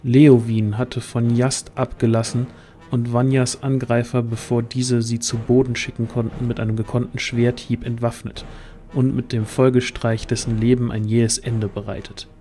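A man's voice: mid-range voice, flat delivery, normal speed, dark tone, faint music behind. A man narrating a scripted fantasy audio drama as the storyteller.

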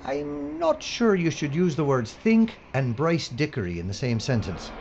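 A man's voice: fairly high pitch, wildly swooping pitch, moderate pace, a little mellow, heavily acted. A man acting a grumbling, sarcastic, anxious film presenter in an audio drama. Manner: complaining, sarcastic. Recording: muffled recording with clear noise.

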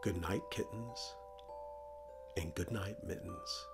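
A man's voice: low voice, takes it slowly, vocal fry, loud music behind the voice. A middle-aged man who hosts a children's show, presenting and reading stories aloud for kids.

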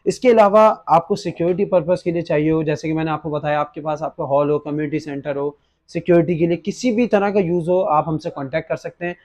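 Young Pakistani man, slightly high-pitched, explaining. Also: steady tone, fast, a little mellow. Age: young adult. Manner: explanatory, mixes in English words. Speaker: presenter for an online gadget shop. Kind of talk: unboxing and product demonstration. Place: small indoor gadget shop studio at a desk.

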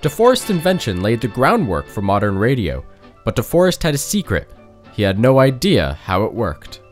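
A man's voice: medium pitch, wildly swooping pitch, normal speed. A young man reads scripted documentary narration. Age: young adult. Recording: music bed under narration.

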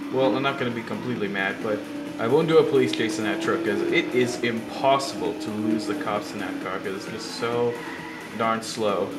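A man's voice: lowish voice, normal speed. A young man with a slight cold and sore throat, rambling through casual gameplay commentary with many fillers and restarts. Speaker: gaming channel host. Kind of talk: casual let's-play commentary. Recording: roomy sound over loud game music. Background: loud game music and engine sounds.

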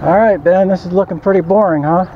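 A man fishing from a kayak on an outdoor lake, casually commenting to himself and a friend, picked up by an action camera. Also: high-pitched. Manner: talks to himself and his buddy while fishing, quick exclamations. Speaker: fishing vlogger. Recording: dull action-cam audio with water and wind noise.

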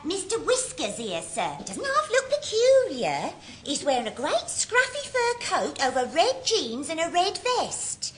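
Squeaky, drawling British secretary. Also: female voice, wildly swooping pitch, unhurried, hardly any pauses, a bit bright, full character voice. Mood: cheeky. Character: cheeky, dim female office secretary. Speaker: actor in a radio sitcom. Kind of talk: classic British radio comedy acting. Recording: noisy old radio recording.

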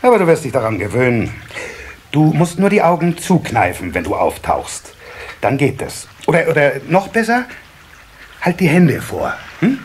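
A man's voice: a little high, wildly swooping pitch, normal speed. An actor playing a patient, protective water sprite father in a children's radio play, explaining things with small hesitant stammers. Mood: patient, encouraging. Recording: slightly hissy old cassette recording.

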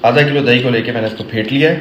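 A chatty middle-aged man cooking in his home kitchen, explaining each step to camera as he goes. His voice sits at a mid pitch and bounces around a hard, echoey room. A steady background noise runs under him. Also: racing, dull audio. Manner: chatty, explains each step, sprinkles English phrases and Islamic blessings. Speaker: cooking vlogger. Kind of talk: casual cooking vlog to camera.

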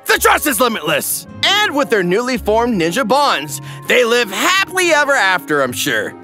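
A man's voice: extremely high pitch, sharp tone. A man giving wry, playful, exaggerated narration for a scripted comedy recap.

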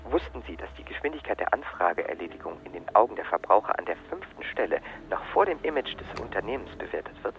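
A smooth-tongued hotline agent in a radio play, talking quickly in a lowish voice. He is unfailingly courteous, evasive and insistent, full of stock service phrases. The sound is a little dull. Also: sing-song. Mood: earnest, officious. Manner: unctuous, formulaic sales patter, insistent. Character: relentlessly polite, evasive male call-centre hotline agent. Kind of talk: radio drama acting, scripted phone dialogue.

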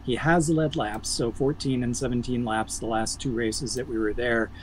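A man analysing racing for a betting preview over a video call, measured and stat-heavy with the odd um. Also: mid-range voice, fairly even intonation, a little hiss or hum, poor audio. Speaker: motorsports analyst guest.

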